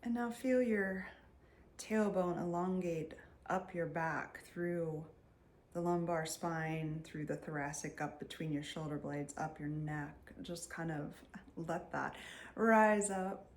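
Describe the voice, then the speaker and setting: A woman leading a guided meditation in a soft, soothing voice, leaving long pauses and sometimes trailing near a whisper. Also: deep voice. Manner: soothing, unhurried, with long pauses between phrases. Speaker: meditation guide and energy healer.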